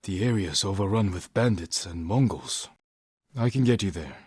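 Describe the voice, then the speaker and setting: An actor playing a stoic, honorable samurai warrior in a video game, speaking in a measured, formal and grave way. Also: male voice, low-pitched, lively intonation, a little mellow, slightly rough, dry recording, studio quality. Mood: measured, resolute. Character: stoic, honorable samurai warrior, adult man, solemn and protective. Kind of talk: dramatic video game acting.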